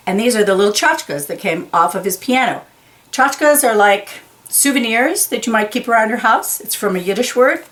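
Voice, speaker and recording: A woman's voice: on the high side, animated, a bit bright. A woman reading a children's picture book aloud, carefully stressing key words and explaining them simply. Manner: slow, deliberate, enunciates key words for young listeners.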